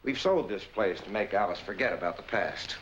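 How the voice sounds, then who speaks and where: An actor plays a polite, businesslike con man from a 1940s noir. He is an American man with a low voice and quick delivery, heard on a dull old soundtrack. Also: expressive, noisy background, natural voice. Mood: polite, dismissive. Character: smooth, courteous male swindler posing as husband. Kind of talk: 1940s film noir acting.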